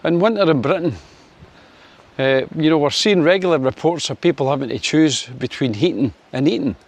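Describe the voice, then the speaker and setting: Chatty Scottish man in his sixties. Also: normal speed. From Scotland. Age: 60s. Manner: chatty, wry, lots of fillers, lifts his pitch for sunny Spain. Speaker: vlogger. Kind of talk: casual opinion vlog to camera.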